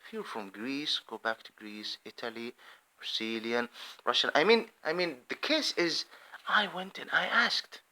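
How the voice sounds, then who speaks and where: A man delivers a fervent, meandering monologue, repeating himself and restarting sentences as he goes. His pitch leaps and falls with lively swings, and his tone is bright and forceful. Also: measured pace. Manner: impassioned, rambling, many restarts. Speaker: video commentator. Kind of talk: unscripted monologue.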